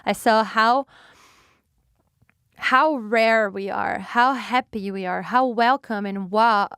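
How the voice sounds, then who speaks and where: A woman's voice: a little high, takes it slowly, fairly bright tone, smooth, dry recording, studio quality. A young woman from Brazil with a Brazilian Portuguese accent, hosting a solo podcast in a chatty, reflective way, with fillers and restarts. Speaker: podcast host.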